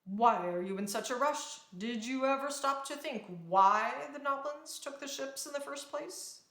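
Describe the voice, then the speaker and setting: A storybook spirit guide, voiced by a woman reader, gives chiding advice with pointed questions. The voice is bright, with audible room echo. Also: sits high, a little slow, pitched above the performer's own voice, heavily acted. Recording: roomy, echoing sound.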